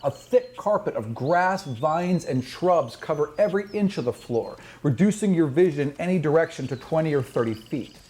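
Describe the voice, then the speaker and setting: A man's voice: a little high, expressive, moderate pace, few pauses, darkish tone. A man acting as game master, narrating a fantasy tabletop game with descriptive storytelling and casual table talk.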